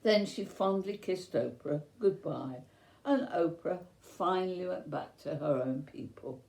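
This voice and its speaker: A woman telling a children's bedtime story as host, speaking in a gentle, deliberate and expressive way for young listeners. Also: lowish voice, gravelly. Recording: roomy, echoey sound.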